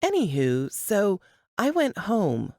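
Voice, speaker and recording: A female reader acts out a feisty, talkative woman in a novel. She scolds and chatters with very animated intonation, in a dry, close recording. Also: medium pitch, takes it slowly, no room sound, pitched above the performer's own voice, full character voice. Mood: breezy, chatty. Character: spirited, chatty, scolding young brunette at bedside.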